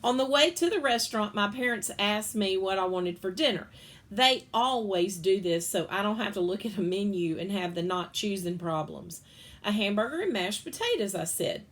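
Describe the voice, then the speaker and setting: A woman reads a children's picture book aloud in an animated, playful way. Her voice sits mid-range and jumps up high for funny moments. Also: normal speed. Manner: expressive, playful storytelling with big pitch swings. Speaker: reader.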